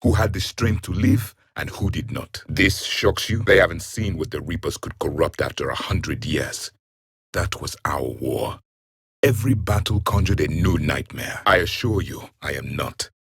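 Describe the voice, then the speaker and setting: An actor playing an ancient alien warrior in a video game, the grim and scornful last of his race, with a husky, raspy voice and stern, haughty, formal phrasing. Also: a bass voice, moderate pace, a bit bright, no room sound, clean studio sound, heavily acted. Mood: grim, disdainful. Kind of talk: video game character voice lines.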